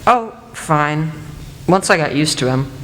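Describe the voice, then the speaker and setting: A woman's voice: deep voice, lively intonation, a little slow, fairly bright tone. A young woman playing a shy, polite, lonely teenage boy in a radio play, hesitant and courteous. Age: teenager or young adult. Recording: echoey hall, faint noise.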